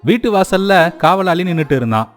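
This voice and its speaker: Higher-pitched male storyteller over music. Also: expressive, fairly quick. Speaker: narrator. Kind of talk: scripted storytelling narration.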